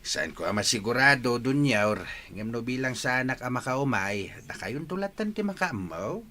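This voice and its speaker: An actor with an Ilocano Filipino accent playing a humble, stubborn but polite older farmer in a radio drama. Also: male voice, medium pitch, big pitch swings, normal speed, sharp tone. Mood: earnest, friendly.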